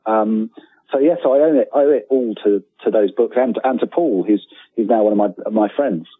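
A man's voice: fairly low pitch, fairly quick, slightly rough, dry recording. A British man in his forties, a guest author chatting in a hesitant, rambling, self-deprecating way over a remote call. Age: 40s. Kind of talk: casual interview conversation. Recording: thin phone-like call, dry.

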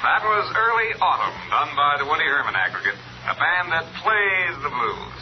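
An actor playing a breezy, chummy evening disc jockey in a 1950s radio drama, with slick, jokey patter. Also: male voice, on the high side, big pitch swings, moderate pace. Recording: muffled old radio recording, faint noise.

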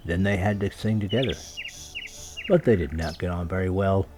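A man's voice: low voice, moderate pace. A man narrating a scripted children's story as a storyteller. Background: music and birdsong sound effects.

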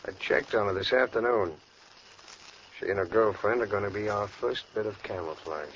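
An actor playing a smooth, calculating young gang leader in an old radio crime drama, speaking casually with a slick politeness. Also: male voice, on the low side, moderate pace, a bit bright, lightly acted. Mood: scheming, bossy. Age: young adult. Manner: casual slangy, turns politely ingratiating. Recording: dull, noisy vintage radio recording.

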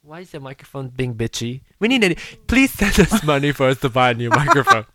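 A man co-hosts with a teasing, animated manner and breaks into laughter. His pitch swings widely and his voice sounds bright. The sound is dry and close. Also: fast, no echo. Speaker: podcast co-host. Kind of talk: casual chat.

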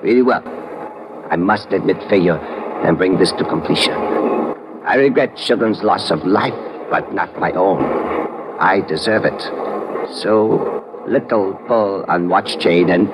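A noisy vintage radio recording of a coolly menacing Japanese fanatic. He has a lowish voice, a Japanese accent and excessively courteous, formal phrasing, in the manner of 1950s radio drama. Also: measured pace. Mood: calm menace.

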